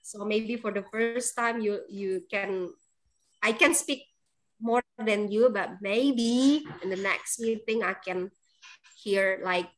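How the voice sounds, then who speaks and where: A woman's voice: mid-range voice, low-quality recording. A woman English teacher with an Indonesian accent, giving chatty, encouraging feedback at the end of an online class over a video call. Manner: encouraging, chatty, laughs often, fills pauses with um.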